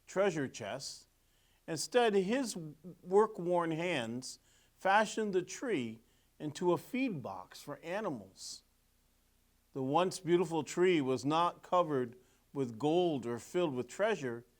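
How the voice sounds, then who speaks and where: Slow, animated man reading a storybook. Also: fairly high pitch. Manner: deliberate, emphatic phrasing with pauses. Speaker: clergyman reading a children's story. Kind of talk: expressive storybook read-aloud with asides on pictures.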